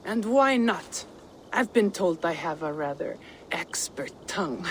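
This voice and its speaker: An actress playing a lewd, smug mercenary leader in a video game, with a taunting, flirtatious manner. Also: female voice, on the low side, lively intonation, unhurried, slight background noise. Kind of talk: video game cutscene acting.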